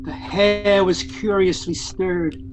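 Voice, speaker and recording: An actor as the narrator of an audio play, telling the tale in a dramatic scripted storytelling style. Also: male voice, high-pitched, steady tone, slow, noisy background. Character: male storyteller of a Christmas ghost tale.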